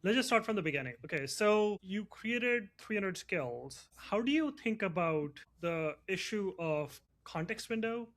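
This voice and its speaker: A man with a voice a bit higher than most hosts a technology podcast. He speaks conversationally, framing questions for the person he is interviewing. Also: animated, a little slow, hardly any pauses, a bit bright.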